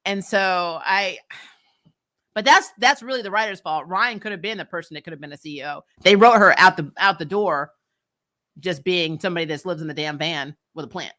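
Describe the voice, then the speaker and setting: A woman's voice: lowish voice, brisk, sharp tone. A woman giving casual, candid fan commentary as a recap host, in a sassy, emphatic and colloquial way.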